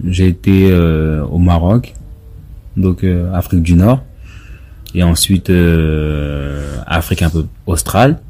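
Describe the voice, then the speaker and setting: Low, mellow-voiced man, halting. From France. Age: 30s. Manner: hesitant, halting with many fillers. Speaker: interview guest, member of parliament. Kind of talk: casual interview talk.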